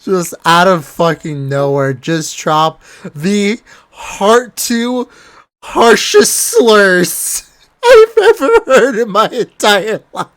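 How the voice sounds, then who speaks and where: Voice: mid-range voice, big pitch swings, very slow, no room sound. A young podcast host chatting over a voice call from their bedroom, swearing casually and laughing hard. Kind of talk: casual chat. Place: own bedroom. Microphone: computer mic over a voice call.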